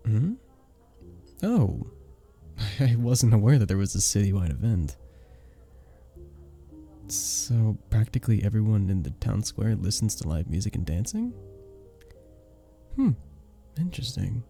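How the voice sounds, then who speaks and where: Charming male vampire bartender, acted by a man for ASMR roleplay: lowish, very soft dark tone, teasing and flirtatious, with lingering pauses. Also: sing-song, gravelly, faint music behind, heavily acted. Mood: friendly, curious. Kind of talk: scripted ASMR roleplay, addressing the listener.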